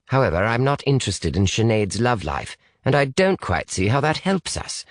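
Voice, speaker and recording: A man's voice: medium pitch, very animated, normal speed, dry recording, studio quality, pitched above the performer's own voice, lightly acted. Man doing a stiff, formal young British man. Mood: dry, unimpressed. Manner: stiff, formal, precise wording.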